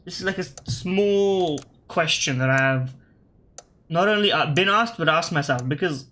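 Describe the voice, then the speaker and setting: Bright-voiced Australian man thinking aloud. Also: a little high, moderate pace. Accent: Australian, shifted by British media. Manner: thinks aloud, self-correcting, wry asides to opponents. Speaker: gaming video creator. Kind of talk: casual gameplay commentary.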